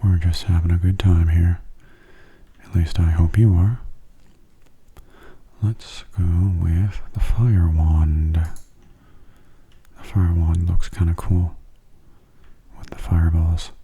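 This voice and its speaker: A man giving soft-spoken ASMR commentary on a game in a gentle, unhurried, casual way, his hushed voice often slipping into whispers. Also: low-pitched, steady tone, slightly rough. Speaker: gameplay video host.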